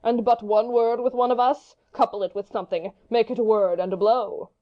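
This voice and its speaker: A woman's voice: a little high, steady tone, fairly quick, dull audio, natural voice. A woman playing a hot-headed, witty young swordsman in a Shakespeare audiobook, taunting and theatrical in long-winded speeches. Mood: mocking, defiant. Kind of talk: Shakespeare audiobook dramatic reading.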